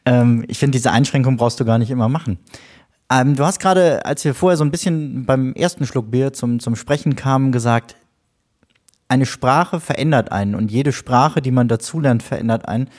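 A man hosting a parish podcast, talking in a chatty, relaxed way with friendly asides. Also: mid-range voice, normal speed. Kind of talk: casual podcast interview.

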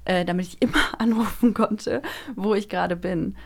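A woman's voice: mid-range voice, fairly even intonation, normal speed. Young woman chatting informally as a guest. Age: 20s. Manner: informal, youthful colloquial wording. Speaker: guest, actress and voice actor. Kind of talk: casual chat, telling personal anecdotes.

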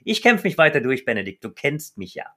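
Co-host dude, bit higher voice, excited and chatty, lots of äh. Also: male voice, fairly quick. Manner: enthusiastic, chatty, with hesitation sounds. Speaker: podcast co-host. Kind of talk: podcast conversation.

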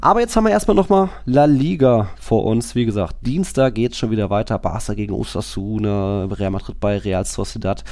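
A man's voice: on the low side, brisk, hardly any pauses, darkish tone. A man hosting a podcast, talking casually about football in a chatty, rambling way.

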